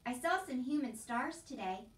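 A woman's voice: high-pitched, lively intonation, moderate pace, echoey room, far from the mic. A woman voicing a naive seven-year-old peasant girl with simple, rustic speech, as in a storybook reading. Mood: excited.

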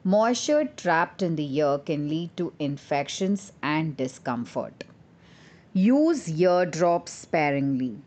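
Female podcast narrator, low register, slow measured delivery, instructional scripted read. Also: sing-song, a little mellow. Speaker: podcast host.

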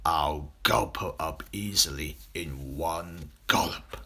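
A narrator voicing a sly, greedy, enormous male crocodile in a children's storybook, with slow, gloating menace. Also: extremely low pitch, sharp tone, husky, pitched below the performer's own voice, heavily acted. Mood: gloating, scheming.

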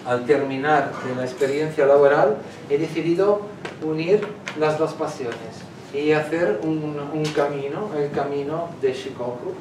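A man in his forties with an Italian accent, giving a talk in Spanish that is not his first language, gesturing and searching for words now and then. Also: medium pitch. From Italy. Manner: gestures while talking, hesitant, searches for words. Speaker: guest speaker. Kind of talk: public lecture. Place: small lecture room in a civic centre. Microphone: far camera, no mic. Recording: echoey room from far off, noisy.

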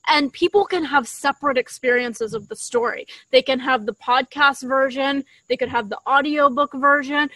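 A woman's voice: sits high, normal speed, hardly any pauses, bright, forceful tone, no room sound, low-quality recording. A young woman co-hosting a podcast in casual conversation, speaking with enthusiasm into a shared desktop mic. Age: young adult. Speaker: podcast co-host. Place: outdoors in a leafy garden. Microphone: desktop condenser mic shared between two.

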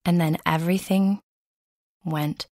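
A woman narrating a dark first-person story in a hushed, deliberate way with dramatic pauses. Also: on the low side, steady tone, no room sound, studio quality.

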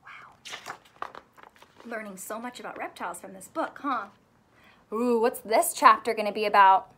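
A woman in her thirties reading a children's book aloud in an expressive, teacherly way, asking questions and drawing out key words. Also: on the high side, a little slow, a little hiss or hum. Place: small indoor room with couch and stuffed toys.

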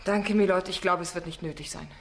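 Casting: actress as young female barrister, lowish voice, shrewd, persistent, probing radio-drama delivery. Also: brisk, background noise, close to an everyday voice. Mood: pointed, insistent. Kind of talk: German radio drama acting.

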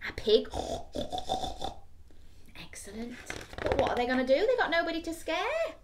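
Animated woman reading to children. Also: high-pitched, moderate pace, noisy background. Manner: expressive, asks the child questions. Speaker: children's storyteller. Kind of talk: expressive bedtime story reading to young children. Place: small bedroom, indoors.

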